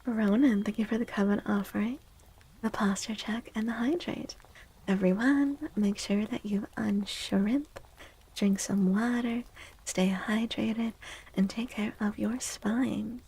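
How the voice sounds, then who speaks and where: Casting: female ASMR stream host, soft and breathy, slightly creaky, unhurried, hushed and gentle. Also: medium pitch, steady tone, rough recording. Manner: slow, hushed, gentle, often slipping into near-whisper. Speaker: livestream host. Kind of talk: soft-spoken ASMR livestream chat.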